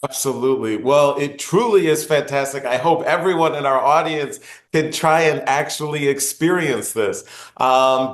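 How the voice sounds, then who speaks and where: Casting: male podcast host, earnest, rambling questions, roomy echo on the voice. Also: mid-range voice. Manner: earnest, long winding questions. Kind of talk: interview questions.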